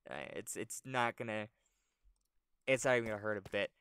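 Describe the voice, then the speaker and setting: A man's voice: fairly low pitch, normal speed, gravelly, a bit bright, smooth. A man voicing a helpful, apologetic old lady in a fable, talking in a casual, reassuring way.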